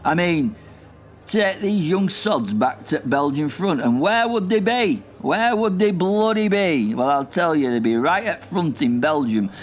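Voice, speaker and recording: Gruff old war veteran, played by a man, rambling and cursing, slightly high voice, faint noise behind. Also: expressive, measured pace. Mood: cantankerous. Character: grumpy old male northern war veteran. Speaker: comic character.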